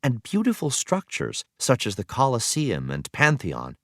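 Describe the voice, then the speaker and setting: Expressive man reading aloud, swooping intonation. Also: medium pitch, measured pace, dry recording, clean studio sound. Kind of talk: scripted educational read-aloud narration.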